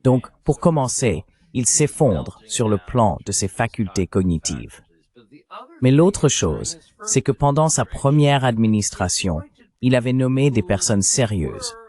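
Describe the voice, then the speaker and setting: A man's voice: medium pitch, very animated, a little slow, a little mellow. A man's AI dubbing voice giving a psychologist's answers in an interview, as a translated voice-over.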